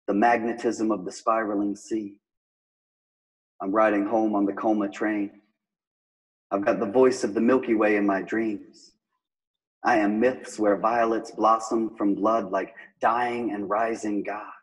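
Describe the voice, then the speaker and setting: Male poet, slow and measured incantatory recitation, deeper register. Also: poor audio. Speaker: poet reciting his poem. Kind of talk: slow spoken-word poetry recitation.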